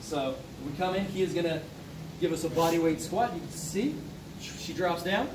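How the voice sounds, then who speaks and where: A man's voice: a little high, animated, normal speed. A man in his thirties, a coach, explaining squat form to the camera in an upbeat, instructive way inside a large gym. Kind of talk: coaching demonstration. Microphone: camera mic from across the room. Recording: echoey gym from far off, noisy.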